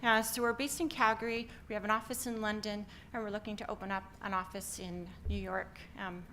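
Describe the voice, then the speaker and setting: A woman's voice: medium pitch, little pitch movement, fairly quick, sharp tone, a little hiss or hum. A middle-aged woman from Canada with a Canadian accent, giving an upbeat conference talk with many filler ums. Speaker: company founder presenting. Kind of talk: conference presentation.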